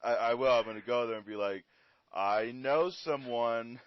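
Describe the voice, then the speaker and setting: A man's voice: mid-range voice, moderate pace, dry recording. A man hosting a podcast, chatting casually and enthusiastically, often repeating his words. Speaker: podcast host. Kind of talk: casual interview chat. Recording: dry, dull lo-fi audio.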